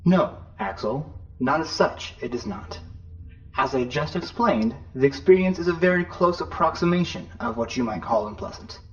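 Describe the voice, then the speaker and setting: A man's voice: fairly high pitch, lively intonation, normal speed, lightly acted. A man playing a prim, officious ship's computer in a science fiction audio drama, formal, pedantic and coolly sarcastic. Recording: dull, band-limited computer sound.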